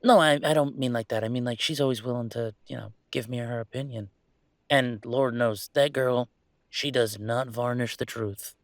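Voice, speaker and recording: A man playing a young werewolf boyfriend in an intimate audio roleplay, talking in a halting, rambling, conversational way with a gravelly creak. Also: mid-range voice, fairly even intonation, no room sound, clean studio sound, lightly acted. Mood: pensive, wry. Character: young male werewolf boyfriend, hesitant, affectionate, weighing a move.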